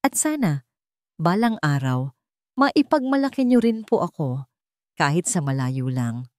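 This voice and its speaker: A woman's voice: low-pitched, sing-song, a little slow, no echo, studio quality. A woman voicing a repentant grown son in an audio drama, pleading humbly and respectfully in his letter. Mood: pleading, hopeful.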